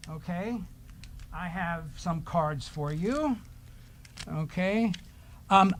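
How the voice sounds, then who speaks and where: A man's voice: sits high, slight background noise. A man leading a children's time at church, reading aloud and chatting to the children in a playful way, with questions and simple instructions.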